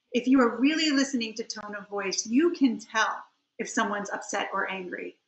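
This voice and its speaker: A middle-aged woman giving an instructional webinar talk as a coach, emphatic and slowing down to stress key phrases. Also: on the high side, fairly bright tone. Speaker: webinar presenter, communication coach and trial attorney. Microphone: computer on a video call. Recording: roomy, slightly dull computer audio.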